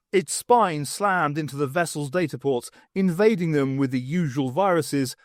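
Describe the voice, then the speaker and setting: A man's voice: a little high, no echo, studio quality. A man reading scripted dramatic narration of a science fiction story as an audiobook narrator. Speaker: audiobook reader.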